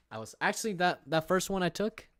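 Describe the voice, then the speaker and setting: A man's voice: high voice, fairly bright tone, dry recording, clean studio sound. Rambling young man chatting on stream. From England. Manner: rambling, many fillers and restarts, reads viewer questions aloud. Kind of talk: casual livestream chat. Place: room.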